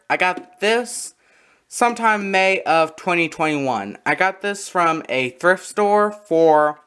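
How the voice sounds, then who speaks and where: A person giving a casual, halting, matter-of-fact show-and-tell narration, recorded on a handheld camera in a room at home. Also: mid-range voice, a bit bright, clear, resonant tone. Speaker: video creator showing a radio collection. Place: small room at home, indoors. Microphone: handheld camera close by.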